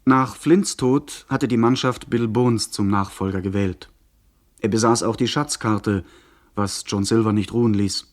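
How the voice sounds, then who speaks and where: An actor in a radio play narrating as a young gentleman adventurer in a measured, literary way. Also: lowish voice, natural voice. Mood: measured, reflective. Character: young gentleman adventurer recounting his own tale.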